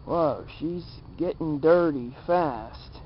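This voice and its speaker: A man's voice: on the high side, slow pace. A man narrating a casual experiment vlog as its host, in a jokey, mock-affectionate way. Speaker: video show host. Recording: dull lo-fi camera audio, background noise.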